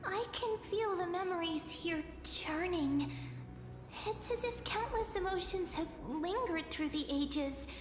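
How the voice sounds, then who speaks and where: A woman's voice: very high-pitched, expressive, measured pace. A woman voicing a small, sweet, sensitive girlish companion in a fantasy game, speaking delicately. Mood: uneasy, sorrowful. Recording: echoey reverb, music behind.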